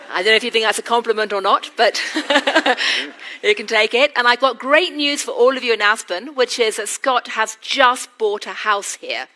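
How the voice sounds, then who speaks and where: A woman's voice: a little high, bright, forceful tone, slight background noise. A British woman interviewing a guest on stage before a live audience, with a witty, teasing manner. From Britain. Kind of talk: onstage conversation.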